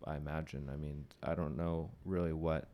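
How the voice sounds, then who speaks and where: A young man with a very deep, low voice. He talks in a relaxed, wandering way, thinking aloud as he chats on a podcast and letting sentences trail off. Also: normal speed. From United States. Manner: relaxed, musing, trailing off mid-thought. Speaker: podcast host. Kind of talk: casual conversation.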